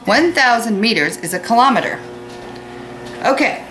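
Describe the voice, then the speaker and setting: A woman's voice: fairly high pitch, lively intonation, normal speed, fairly bright tone, a little hiss or hum, low-quality recording. An American woman teaching children in a read-aloud lesson, explaining informally and putting questions to her young listeners. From United States. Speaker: fifth-grade teacher.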